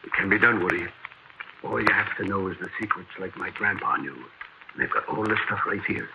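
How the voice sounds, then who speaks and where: An actor playing an earnest, superstitious, folksy pal who talks insistently in a 1940s radio horror drama, on an old broadcast recording. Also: male voice, low voice, animated, brisk, heavy background noise. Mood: grave.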